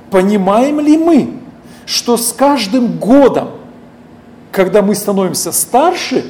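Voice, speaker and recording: Warm, lively middle-aged male preacher. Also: high-pitched, slight background noise. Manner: deliberate, keeps addressing listeners as friends, asks rhetorical questions. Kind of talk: sermon with scripture reading.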